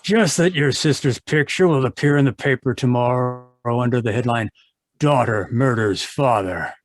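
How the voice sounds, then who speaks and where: A man's voice: mid-range voice, moderate pace, close to an everyday voice. A man acting as a polite, probing newspaper reporter in an old-time radio mystery. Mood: coolly accusing. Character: inquisitive, polite but shrewd male newspaper reporter. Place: remote video call performance. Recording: live video-call recording, dry.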